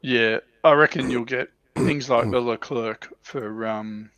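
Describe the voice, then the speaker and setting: A man co-hosting a livestream, musing tentatively and haltingly in casual hobby talk, with slightly muffled audio. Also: mid-range voice, fairly even intonation, a bit bright, dry recording. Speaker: livestream co-host.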